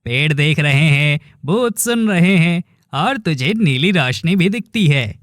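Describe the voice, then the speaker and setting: Casting: male actor for bold teasing young villager, cartoon drama, lightly raised pitch, close dry sound. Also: lively intonation, measured pace, a bit bright, no room sound. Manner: familiar, teasing, emphatic.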